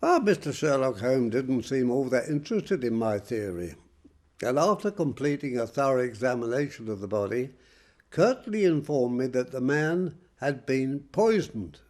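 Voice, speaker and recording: An actor playing a wry Victorian police detective, recalling a case in a measured dramatic monologue. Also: male voice, medium pitch, lively intonation, darkish tone, close to an everyday voice. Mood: grave, recounting. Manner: wry, measured, emphatic repetitions. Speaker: actor introducing a story.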